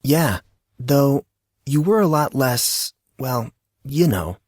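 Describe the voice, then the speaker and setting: A man plays a teenage schoolboy friend in an audiobook, polite and quietly knowing. His delivery is calm, measured and a touch apologetic, lifted above a low adult voice, with a dry sound. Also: no room sound. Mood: apologetic, familiar. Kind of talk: acted audiobook dialogue.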